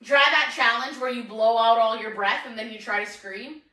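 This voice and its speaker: A woman co-hosting a casual chat show, loud and quick to laugh. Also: a little high. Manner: laughs often, loud and animated. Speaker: podcast co-host. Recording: echoey room, mic at a distance.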